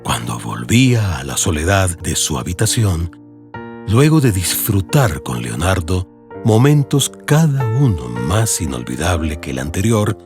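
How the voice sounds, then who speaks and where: A man narrating a literary audio story in a solemn, measured, dramatic way. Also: low voice, very animated. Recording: music behind the voice. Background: music.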